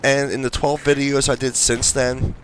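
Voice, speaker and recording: A man's voice: mid-range voice, little pitch movement, normal speed, a crowd in the background, poor audio. A stuffed-up young man who hosts a gaming channel, chatting casually with fillers as he comments over gameplay. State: stuffed up, congested. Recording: game gunfire noise behind.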